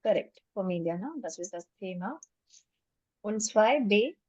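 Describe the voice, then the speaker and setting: A woman's voice: mid-range voice, lively intonation, measured pace, a little mellow, no room sound, muffled recording. A woman teaching a German class online, patiently explaining step by step and mixing English with German.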